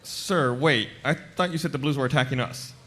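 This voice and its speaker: An actor playing a dutiful, nervous soldier, deferential and easily wounded, in a comedy table read. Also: male voice, mid-range voice, normal speed, pushed and bright, natural voice. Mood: hesitant, deferential. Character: Simmons, hurt, dutiful, nervous young soldier subordinate.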